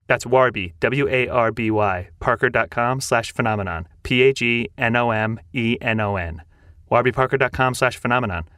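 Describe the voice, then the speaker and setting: A man's voice: mid-range voice, fairly bright tone, dry recording. Guy host doing an ad read, upbeat and chatty, dry close mic. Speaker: podcast host reading an ad. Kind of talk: sponsor read.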